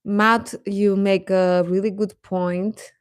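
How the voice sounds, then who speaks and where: A woman's voice: mid-range voice, flat delivery, takes it slowly, clean tone, no echo, clean studio sound. A woman hosting a live stream, chatting casually with viewers in an informal, hesitant, self-deprecating way.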